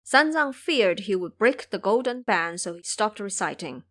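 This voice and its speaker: A woman's voice: mid-range voice, animated, moderate pace, fairly bright tone. A woman narrating a scripted fantasy tale as the storyteller of an audio drama.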